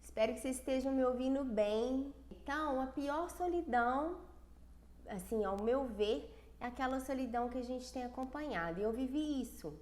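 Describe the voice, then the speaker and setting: Brazilian woman coach, stuffy nose from a cold, fairly high voice, earnest and personal, echoey room. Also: a little mellow. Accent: Brazilian Portuguese. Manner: earnest, personal, with frequent restarts. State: congested from a cold. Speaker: self-esteem coach. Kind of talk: casual livestream talk.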